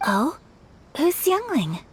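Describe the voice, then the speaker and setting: A woman voicing a refined, gentle young noblewoman in a video game, speaking politely and earnestly over music. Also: sits high, huge pitch swings, slow, exaggerated voice. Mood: gentle, pleased. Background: background music.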